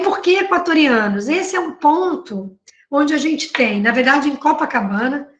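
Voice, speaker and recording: A Brazilian woman speaks in a notably high voice, talking through her research like a professor at an online panel. She keeps it conversational, checks in with her listeners and corrects herself as she goes. Her voice rings a little in an echoey room. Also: lo-fi sound. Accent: Brazilian Portuguese. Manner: conversational, frequent "né?" tags and self-corrections. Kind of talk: academic panel talk presenting her work. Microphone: video call.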